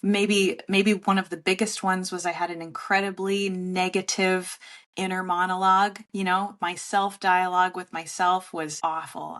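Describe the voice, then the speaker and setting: Woman podcast guest, candid and self-deprecating, slightly low voice, laughs easily. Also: a bit bright. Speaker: guest, relationship author. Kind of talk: conversational podcast interview.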